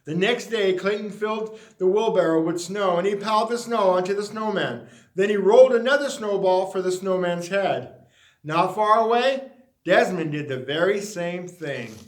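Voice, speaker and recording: Man, high-pitched voice, animated children's read-aloud, emphatic phrasing, echoey recording. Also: moderate pace. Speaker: storyteller reading a picture book aloud. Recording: roomy, echoey sound.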